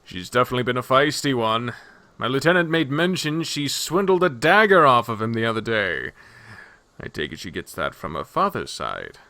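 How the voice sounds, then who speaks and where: A man's voice: medium pitch, sing-song, normal speed, sharp tone, dry recording. A man voicing a composed, gracious clawed emperor in a fantasy story, speaking formally and politely. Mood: amused.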